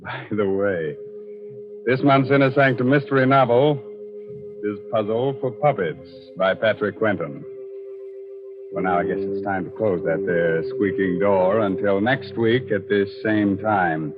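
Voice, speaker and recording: Slow, low-voiced sardonic horror host. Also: male voice, over music. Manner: theatrical, drawn-out, sardonic. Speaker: horror radio show host. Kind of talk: 1940s radio hosting, macabre punning. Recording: muffled 1940s radio broadcast.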